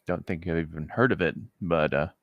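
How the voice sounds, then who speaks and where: A man's voice: sits low, fairly quick, no echo, phone-quality sound. A man casually co-hosting a comedic fan fiction reading, bantering hesitantly and often amused by what he reads. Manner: hesitant, amused, prone to breaking into laughter. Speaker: co-host reading fan fiction aloud with commentary.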